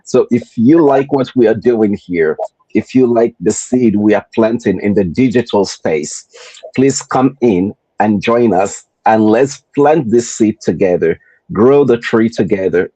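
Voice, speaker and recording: Mellow Nigerian man hosting a podcast. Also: lowish voice, expressive, husky, no room sound, rough recording. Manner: rhetorical, deliberate repetition. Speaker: podcast host. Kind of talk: motivational podcast talk.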